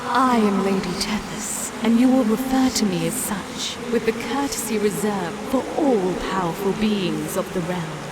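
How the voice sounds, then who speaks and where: A haughty water spirit queen acted by a woman, disdainful and commanding, in a mid-range voice. Loud game ambience runs behind her. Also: mid-range voice, moderate pace, hardly any pauses, very noisy, natural voice. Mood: imperious. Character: haughty, imperious female water spirit ruler. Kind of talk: fantasy video game voice acting.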